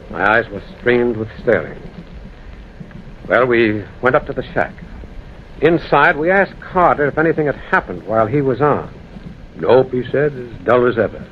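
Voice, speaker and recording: An actor playing a grave man recounting a ghostly encounter, tense, deliberate and dramatic, in an old-time radio drama. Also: medium pitch, natural voice. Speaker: narrator and protagonist. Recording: muffled, hissy old radio recording.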